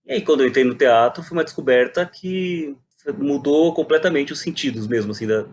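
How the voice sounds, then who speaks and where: A man's voice: medium pitch, a little fast, a little mellow, slight background noise. A man, telling his story reflectively and animatedly in a conversational interview. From Brazil. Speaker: guest, playwright and poet.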